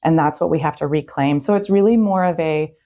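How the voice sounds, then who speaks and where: A woman with a low-pitched voice speaks as a guest on a talk show. She answers questions in a conversational interview style. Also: fairly quick, dark tone, muffled recording.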